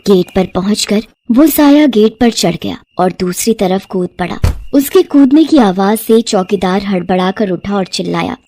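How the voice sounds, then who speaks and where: A woman narrating a scripted moral story in an expressive, dramatic storytelling manner. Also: mid-range voice, normal speed, a little hiss or hum.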